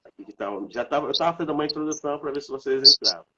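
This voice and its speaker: A man's voice: on the high side, very fast, bright, forceful tone, highs cut off, a little hiss or hum. A man, congested from a cold, hosting a live stream in a casual, rambling way with many fillers.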